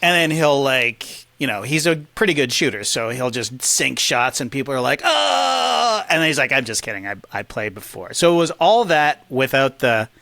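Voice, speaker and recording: A man's voice: medium pitch, lively intonation, normal speed, gravelly, hardly any pauses, sharp tone, no room sound. A middle-aged man chatting casually as an agreeable, amused podcast co-host. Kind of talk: casual chat.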